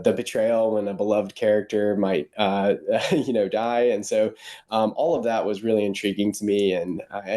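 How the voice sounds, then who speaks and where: A man who grew up in Ukraine tells stories as a podcast guest, keen and chatty, with many fillers and false starts. His voice sits fairly low and has a mellow, dark tone. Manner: enthusiastic storytelling, many fillers and restarts. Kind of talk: casual interview.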